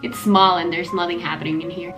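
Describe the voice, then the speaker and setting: A woman's voice: lowish voice, level tone, fast. Finnish-accented young woman, music behind. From Helsinki, Finland. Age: 20s. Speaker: vlog host. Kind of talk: casual Q&A chat. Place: small home kitchen, indoors.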